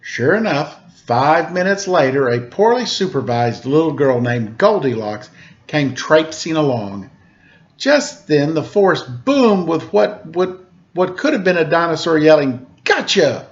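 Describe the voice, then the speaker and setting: A man with a fairly high, very lively voice tells a children's story. His read-aloud is playful and mock-innocent, dropping into casual asides, on a slightly muffled recording. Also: measured pace. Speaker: storyteller reading a picture book to children.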